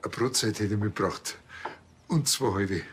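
A laid-back Bavarian village policeman played by a man in a TV crime comedy. He is chatty and wily, with a lowish voice and faint noise behind him. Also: moderate pace, fairly bright tone, close to an everyday voice. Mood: affable. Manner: casual, folksy. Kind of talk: television crime comedy acting.

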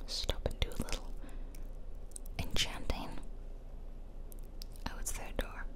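Whispering woman, close on the mic. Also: slow pace. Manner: whispers throughout, chatty and gentle. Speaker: gaming video host. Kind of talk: ASMR whispered game playthrough. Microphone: close microphone, ear to ear.

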